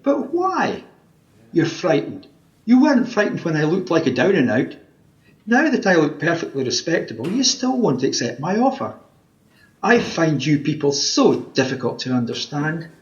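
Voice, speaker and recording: A man's voice: on the high side, sing-song, moderate pace. A man with a Scottish accent playing an insistent, cajoling wish granter disguised as a scruffy tramp in an amateur radio play, theatrical in manner. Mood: smooth, persuasive. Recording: dull remote home recording.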